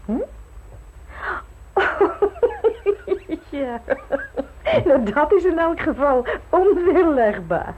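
A woman's voice: high-pitched, big pitch swings, drawn-out words, long pauses, phone-quality sound, exaggerated voice. An actress playing a shrewd, worldly married society lady in an old radio play, arch, knowing and teasing. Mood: amused, sceptical. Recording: old radio recording with clear noise.

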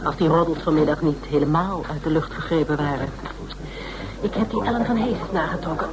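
A low-pitched, brisk woman sleuth played by an actress in a radio play, scheming and bossy. She hands out orders in a breezy, matter-of-fact way over clearly audible recording noise. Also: close to an everyday voice. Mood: gossipy. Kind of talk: radio drama acting in Dutch.

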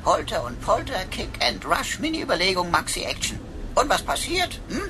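A woman's voice: mid-range voice, very animated, normal speed, background noise. A woman playing a chatty, sardonic computer sidekick in a radio play, talking in florid mock-archaic phrases peppered with foreign words.

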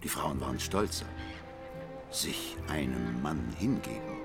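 A man's voice: a bass voice, expressive, measured pace, creaky, full character voice. A man playing a blind music critic in a radio drama, self-mocking, theatrical and brooding in his confessional talk. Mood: wistful, obsessive. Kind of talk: radio drama monologue and dialogue.

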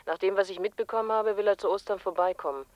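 An actor playing a put-upon but dutiful junior police colleague in a radio drama, matter-of-fact with some exasperation. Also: male voice, high-pitched, fast talker, no room sound, lightly acted. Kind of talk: German radio drama acting.

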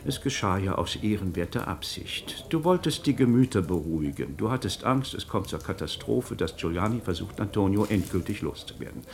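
A shrewd magistrate in a radio drama, speaking in a fairly low voice. He builds his case with theatrical, rhetorical flourishes. His manner is insinuating, mocking and relentless. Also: very animated, a little fast, few pauses. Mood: insinuating, accusing. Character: shrewd male magistrate methodically cornering a suspect, mocking and relentless. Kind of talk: German radio play acting.

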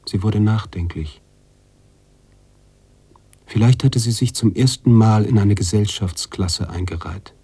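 A man playing a brooding, contemplative narrator-author in a literary radio drama, speaking deliberately with pauses between phrases. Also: lowish voice, dark tone, breathy edge, no room sound. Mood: musing. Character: brooding male narrator-author, contemplative, troubled by his heroine.

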